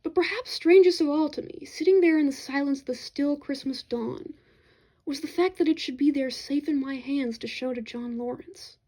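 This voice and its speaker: A woman reads fiction aloud in a high, mellow voice. Her delivery is that of expressive literary narration, shaping each descriptive sentence as a storyteller would. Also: normal speed. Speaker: audiobook-style reader of fiction.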